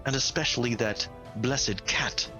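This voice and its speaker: A man's voice: medium pitch, a little slow, music in the background, natural voice. A man playing a stern bird-folk warrior hero in a tabletop fantasy game, speaking in a grave, imperious way. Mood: menacing.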